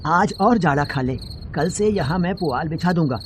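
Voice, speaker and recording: A man's voice: a little high, animated, moderate pace, natural voice. Man acting weary, grumbling peasant farmer, noisy night ambience. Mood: weary, resigned. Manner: plain rustic grumbling, talks fondly to his dog. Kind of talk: dramatised audio storybook. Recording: noisy, with crickets and animal sounds.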